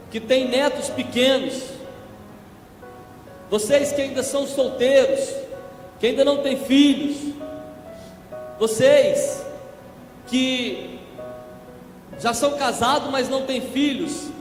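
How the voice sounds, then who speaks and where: A hoarse man preaching a church sermon, slow and emphatic, growing more forceful as he goes. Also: very high-pitched. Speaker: evangelist preacher. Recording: echoey church with long reverb.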